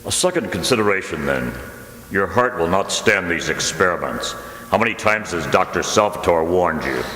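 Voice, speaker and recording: A man acting in a radio drama as a grave, dutiful older clergyman, earnest, measured and admonishing. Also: deep voice, animated, sharp tone. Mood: grave pleading. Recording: echoey hall with faint noise.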